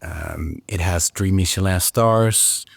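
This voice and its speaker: A man from the Netherlands, a pastry chef speaking as a podcast guest, halting often on "uh"s and restarts. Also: sits low, vocal fry, husky, no echo. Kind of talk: casual interview chat.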